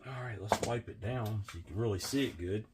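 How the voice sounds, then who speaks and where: Hoarse stuffy guy, super deep voice, chatty and easygoing, explaining a fix as he goes. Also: very noisy. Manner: unhurried, chatty, admiring. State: hoarse and sniffly. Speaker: vintage reel restorer. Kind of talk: casual workbench tutorial.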